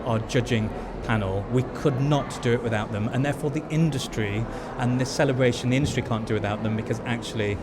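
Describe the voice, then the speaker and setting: A man's voice: medium pitch, quick pace. A man giving earnest, explanatory interview answers at an event venue, stressing points by repeating them. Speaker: head of awards judging. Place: event venue before ceremony. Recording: noisy busy venue ambience.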